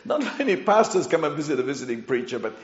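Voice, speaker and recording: A man's voice: a little high, big pitch swings, fast. A man from Chile with an English accent, preaching a sermon as a visiting pastor in an earnest, conversational manner with emphatic swells. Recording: dull, echoey hall sound.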